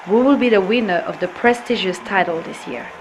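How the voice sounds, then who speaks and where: A woman's voice: mid-range voice, fairly quick, loud music behind the voice, a loud crowd. A woman reporter reading scripted documentary narration.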